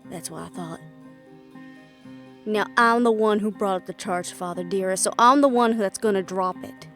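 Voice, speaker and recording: A woman's voice: medium pitch, moderate pace, a bit bright, faint music behind, close to an everyday voice. A woman playing a defiant, fiercely independent half-fae daughter, blunt and sarcastic, in a fantasy audio drama. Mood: smug bargaining. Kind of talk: fantasy audio roleplay acting.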